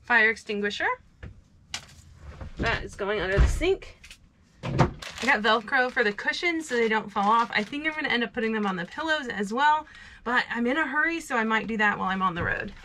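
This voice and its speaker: A woman's voice: on the high side, expressive, a little fast, noisy background. A woman in her thirties chatting upbeat to camera while packing inside a small camper van. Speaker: vlogger. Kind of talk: casual vlog narration.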